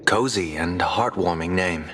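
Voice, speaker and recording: An actor playing a calm, analytical male bodyguard in a game. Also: low voice, unhurried, a little hiss or hum.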